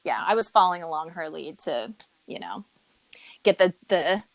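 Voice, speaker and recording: A woman's voice: on the low side, lively intonation, moderate pace, creaky, low-quality recording. A woman in her forties telling dating stories in a casual chat, full of likes and ums. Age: 40s. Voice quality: chatty storyteller, many filler likes and ums. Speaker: guest.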